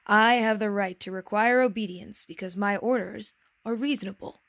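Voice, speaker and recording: A woman's voice: medium pitch, moderate pace, creaky, fairly bright tone, no echo, clean studio sound. A woman voicing a proud, pompous king in a storybook, with a stately, emphatic, declamatory delivery. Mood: reasoning, authoritative. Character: proud, pompous, commanding but reasonable old-fashioned king.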